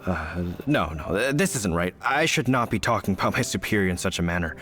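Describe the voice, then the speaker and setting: An actor playing a polite, well-meaning but flustered city knight in a video game, hesitant and stammering. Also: male voice, deep voice, animated, fairly quick, fairly bright tone, soft background music. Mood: sheepish.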